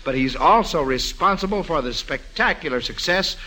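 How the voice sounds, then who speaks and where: A man's voice: on the high side, lively intonation, moderate pace, pushed and bright, poor audio. An American man hosting a 1940s radio quiz program. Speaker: quiz show host.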